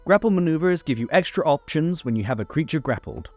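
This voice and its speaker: Male explainer host, animated intonation, scripted rules tutorial, music underneath. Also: fairly high pitch, fast, dry recording. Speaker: host of a tabletop game rules series. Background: background music.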